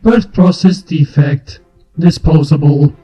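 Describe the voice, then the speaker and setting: A man's voice: a little high, slow, warm tone, pitched below the performer's own voice. A man voicing a large mutant insect creature in a story, clinical and emotionless, with clipped verdicts and an echoing voice. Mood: cold, clinical. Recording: muffled, echoing, music behind.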